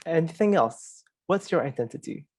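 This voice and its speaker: A man's voice: fairly high pitch, lively intonation, moderate pace, darkish tone, slightly rough, no echo, clean studio sound. A man with a Malaysian accent giving an informal, conversational webinar presentation, prompting his listeners as he speaks.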